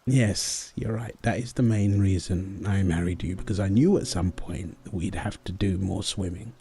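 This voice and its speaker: A man's voice: sits low, normal speed, warm tone, slightly rough. A man in an intimate comfort roleplay as a husband and father, speaking tenderly and soothingly.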